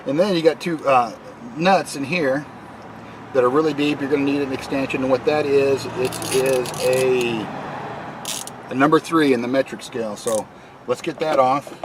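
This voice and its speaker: Casual man giving tutorial, clear background noise. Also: medium pitch, fairly even intonation, moderate pace. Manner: folksy, step-by-step instructing. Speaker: DIY video presenter. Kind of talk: casual hands-on tutorial narration while working. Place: in or beside a vehicle.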